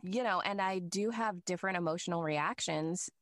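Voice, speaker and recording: A woman's voice: fairly low pitch, normal speed, no echo, clean studio sound. A woman who works as a voice actor, chatting casually and acting out quoted lines with frequent laughs. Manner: animated, acts out quotes, laughs often. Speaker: working voice actor. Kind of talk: casual podcast chat.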